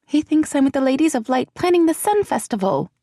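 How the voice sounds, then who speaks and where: Woman putting on a high young woman, worried, breathless, mellow tone, dry sound. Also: very animated, a little fast, no room sound. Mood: eager. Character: frightened young woman, anxious friend.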